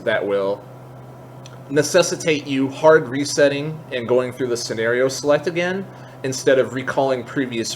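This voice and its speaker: A man hosting a casual software tutorial, explaining things step by step in an informal way. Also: medium pitch, slight background noise. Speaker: tutorial host.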